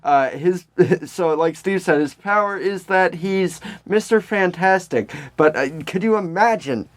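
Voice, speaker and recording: A man's voice: high voice, measured pace. A man co-hosting a podcast in casual comedic chat, rambling and swearing freely. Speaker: podcast co-host.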